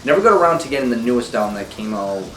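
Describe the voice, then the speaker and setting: A young man with a sore throat talking casually and ramblingly about records he collects, recorded on a low-quality camera mic. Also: big pitch swings, racing, a bit bright. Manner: laid-back, rambling, swears casually. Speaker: vinyl collection vlogger. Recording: roomy, distant camera mic, faint noise.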